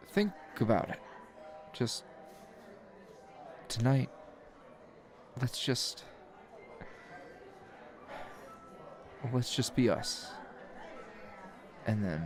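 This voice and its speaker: A man playing a tired, gruff, dryly teasing hero schoolteacher in an ASMR roleplay, speaking low and gravelly in a halting, understated way. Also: a little mellow. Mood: tender, hesitant. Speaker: voice actor.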